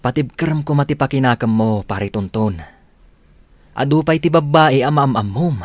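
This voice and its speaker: Male actor for an easygoing Ilocano pal, average pitch, soothing. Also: normal speed, no echo, natural voice. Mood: reassuring. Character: supportive male best friend of the hero. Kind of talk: radio drama acting.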